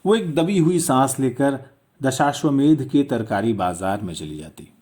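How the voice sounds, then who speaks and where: Slow, expressive male storyteller. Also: mid-range voice. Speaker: audiobook narrator, storyteller. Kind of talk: expressive literary story narration.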